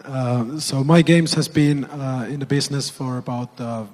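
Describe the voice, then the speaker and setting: A man with a hoarse, nearly lost voice introduces himself on a conference panel. His pitch sits in the middle and he halts often on fillers. The sound carries the long reverb of a large hall. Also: fairly even intonation, darkish tone. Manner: halting, many fillers. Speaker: panelist, game publisher CEO.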